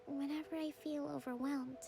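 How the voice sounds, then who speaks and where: Worn-out gentle priestess: soft, breathy, high with a little fry, faint game music under her. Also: fairly even intonation, normal speed. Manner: soft, tired, often breathy near-whisper. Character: young priestess leader, gentle, weary and soft-spoken. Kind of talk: game voice acting.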